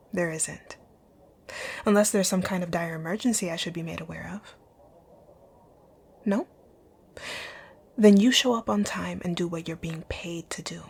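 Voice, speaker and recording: A woman acts out a wealthy, dominant female boss in an intimate ASMR roleplay. Her voice is on the low side, husky and breathy, with a creaky fry. She is curt and commanding, often dropping close to a whisper. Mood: cold, bossy. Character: rich, rude, dominant female boss, stern but secretly caring. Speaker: audio roleplay voice actor.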